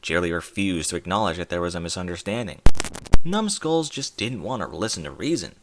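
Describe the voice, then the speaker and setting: A man reading a fan fiction story aloud as narrator, telling it expressively with wide swings in pitch. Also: sing-song, fairly quick, background noise.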